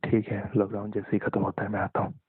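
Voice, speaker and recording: A young man in an intimate phone conversation, talking in a halting, subdued, resigned way. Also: fairly low pitch, monotone, slightly rough. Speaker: caller talking with an ex-partner. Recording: muffled narrow-band phone call, echoey.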